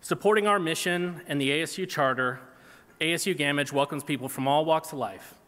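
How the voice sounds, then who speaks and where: Man, 40s, measured award-speech read at a podium; bright timbre, echoing hall. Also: fairly high pitch. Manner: earnest, measured delivery from a podium. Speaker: award recipient giving acceptance speech. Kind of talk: prepared acceptance speech. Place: large banquet hall stage. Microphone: podium microphone. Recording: reverberant hall PA sound.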